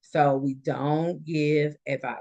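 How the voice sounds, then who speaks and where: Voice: medium pitch, fairly even intonation, slow pace, darkish tone, highs cut off. Nursing instructor of unclear sex, middle-register voice, lecturing a live class informally and explaining with everyday examples. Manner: explanatory, illustrates points with quoted examples.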